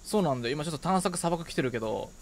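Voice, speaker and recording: Lively higher-voiced man streaming a game. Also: rapid-fire. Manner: excitable, reacts aloud to the game while playing. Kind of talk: casual co-op gaming chat. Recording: game music behind the voice. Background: video game music.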